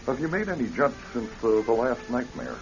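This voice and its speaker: An actor in a radio drama playing a male psychiatrist, questioning a patient in a measured, professional manner. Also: medium pitch, very animated, vocal fry. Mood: reassuring. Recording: dull old radio recording with music.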